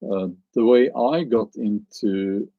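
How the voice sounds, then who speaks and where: Slow gravelly Norwegian man, hesitant. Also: on the low side, no echo, narrow, tinny audio. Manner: hesitant, musing, searches for words. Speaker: live streamer answering chat. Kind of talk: casual live stream chat.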